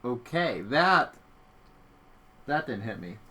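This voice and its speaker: A man's voice: medium pitch, sing-song, slow pace, pushed and bright, slightly rough. A young adult man co-hosting a podcast, chatting casually and giving blunt opinions on audio dramas. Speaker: podcast co-host.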